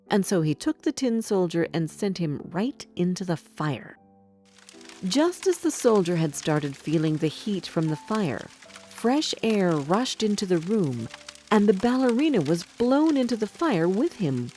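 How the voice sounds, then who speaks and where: A woman's voice: medium pitch, big pitch swings, unhurried, darkish tone. A woman narrating a scripted fairy tale for children as a storyteller. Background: background music.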